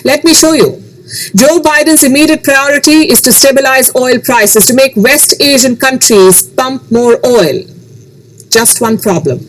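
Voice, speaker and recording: A woman hosting a scripted news commentary, speaking emphatically with rhetorical questions and dramatic pauses. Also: high voice, poor audio.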